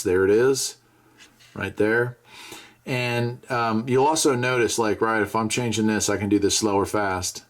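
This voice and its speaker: An American man talking casually and conversationally, thinking aloud as he gives a hands-on gadget review. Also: on the low side.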